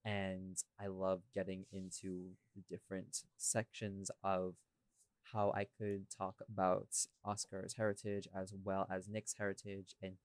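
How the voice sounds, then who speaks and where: A man's voice: low voice, completely flat delivery, unhurried, no room sound. A man hosting a casual behind-the-scenes podcast chat in a rambling, informal way. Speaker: podcast host and audio creator.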